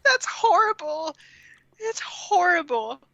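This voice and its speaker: A woman co-hosting a casual podcast with a bright, forward voice and very lively intonation. She reads aloud in a giggly mock-dramatic way and chats loosely in between. The sound is close and dry. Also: very high voice, slow, no echo, dull audio. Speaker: podcast co-host. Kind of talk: comic dramatic reading and casual chat.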